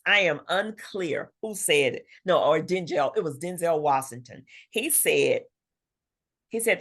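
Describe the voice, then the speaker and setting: A woman's voice: on the low side, a bit bright. A middle-aged woman talking candidly as an interview guest, emphatic and self-assured, often quoting herself as she tells her story. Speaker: guest author.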